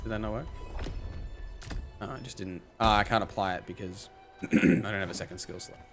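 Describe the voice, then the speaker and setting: A low-voiced man, hoarse from losing his voice, chats casually with his stream audience as he plays. He rambles and thinks aloud, stringing ideas together with fillers. Game music plays clearly behind him. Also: steady tone, normal speed. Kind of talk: casual live-stream commentary, chatting with viewers.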